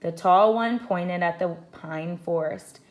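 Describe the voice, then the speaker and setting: A woman reading a children's book aloud like a teacher, in expressive scripted storybook narration. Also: lowish voice, slow pace, dull audio.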